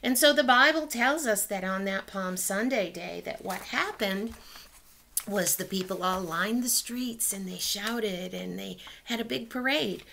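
Bright chatty woman teaching kids. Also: medium pitch, measured pace, gravelly, slight background noise. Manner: warm, chatty, talks straight to children. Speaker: Sunday school teacher. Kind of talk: children's lesson by video. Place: small home room indoors. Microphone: laptop webcam.

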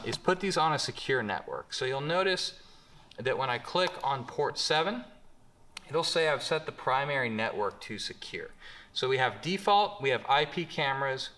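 A man's voice: mid-range voice, fairly bright tone. A young man casually walking viewers through a setup in a large bare warehouse workshop, talking with many fillers and moving about as he shows things. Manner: casual, filler-heavy, gestures while explaining. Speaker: company presenter. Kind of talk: casual product walkthrough.

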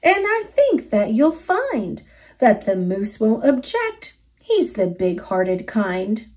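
A small, jolly bug from a storybook, voiced by a woman reader. It is casual and coaxing, with a high pitch that bounces widely. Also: wildly swooping pitch, slow pace, darkish tone, heavily acted. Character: small friendly bug, a breezy hitchhiker.

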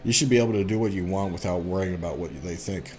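A man's voice: sits low, quick pace, a little mellow, faint music behind, natural voice. A man playing a brash, defiant young tomboy.

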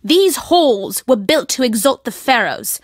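A woman playing a secretive, fervent guardian of her family's destiny in a video game, speaking solemnly and deliberately. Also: fairly high pitch, big pitch swings, no echo, clean studio sound. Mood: impassioned, defiant.

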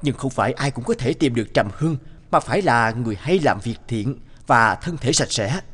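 A man voicing a proud, suave young enemy general with a commanding, self-assured manner in an animated tale. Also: mid-range voice, a little fast, slightly rough, in a large hall. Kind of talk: Vietnamese animated moral tale voice acting.

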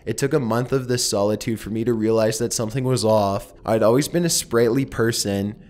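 Somber low-voiced male horror narrator. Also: brisk, a little mellow. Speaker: horror story narrator and channel host. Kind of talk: scripted horror story narration.

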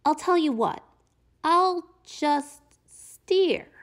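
A woman voicing a pleading, sulky pigeon in a picture book, wheedling dramatically with drawn-out words. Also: high voice, expressive, fairly bright tone, voice pushed up, exaggerated voice. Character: pleading, sulky cartoon pigeon desperate to drive. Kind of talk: animated picture-book read-aloud.

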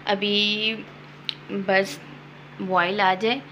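A woman's voice: mid-range voice, flat delivery, very slow, background noise. A young woman, casually chatting to viewers in her home kitchen while filming on a handheld phone. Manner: chatty, upbeat, addresses viewers directly. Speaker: vlogger. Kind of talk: casual home cooking vlog. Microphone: phone camera held in hand.

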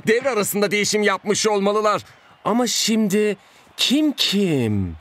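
A man voicing an excitable, suspicious, street-smart young footballer in a dubbed cartoon, talking in a slangy, animated way. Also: sits high, measured pace, full character voice. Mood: urgent, cocky.

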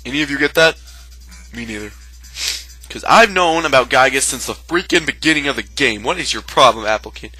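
A man's voice: mid-range voice, animated, brisk, bright, forceful tone, slightly rough, soft background music. A man giving casual, joking game commentary in a sarcastic manner. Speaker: gameplay co-commentator.

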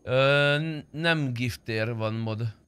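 Bright-voiced young man streaming. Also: mid-range voice, smooth, no echo. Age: young adult. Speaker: streamer playing online roleplay game. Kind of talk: casual gaming chat.

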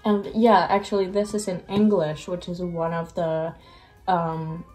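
A woman's voice: lowish voice, unhurried, faint music behind, rough recording. A young woman whose first language is Finnish, chatting casually in a reading vlog, a little under the weather, recorded on a webcam in a small room. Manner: chatty, animated, self-deprecating. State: slightly sick, voice lower than usual. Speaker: book vlogger.

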